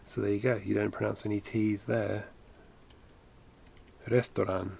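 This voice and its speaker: Low gravelly guy, rambling on his headset while he draws, lots of asides. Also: little pitch movement, measured pace, a little mellow. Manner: rambling, self-correcting, chatty asides. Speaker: animation streamer. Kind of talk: casual livestream chat while drawing. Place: home studio, indoors.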